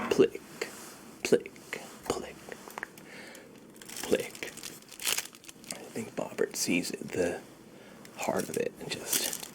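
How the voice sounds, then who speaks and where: A man doing a soft-spoken, half-whispered ASMR roleplay in a soothing, reassuring manner, murmuring and repeating himself close to a sensitive microphone. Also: sits low, vocal fry. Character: friendly shady dealer treating a client's tingle immunity. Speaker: ASMR performer.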